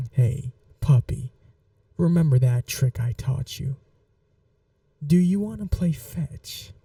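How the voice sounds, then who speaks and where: A woman playing a possessive, teasing, sweetly cruel vampire mistress in an ASMR roleplay, speaking in a soft, intimate murmur that slips into whispers, condescending as if to a pet. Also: very deep voice, expressive, drawn-out words, long pauses, no room sound, clean studio sound, distant mic, full character voice. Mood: playful, taunting. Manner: condescending, purring, coaxing like an owner to a pet.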